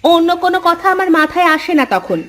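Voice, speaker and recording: High-voiced, sulky village wife, acted by a woman. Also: very animated, moderate pace, heavily acted. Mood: offended, indignant. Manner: sulky, sharp-tongued, dramatic. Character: vain, quick-tempered young village wife of a fisherman. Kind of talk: Bengali cartoon story acting.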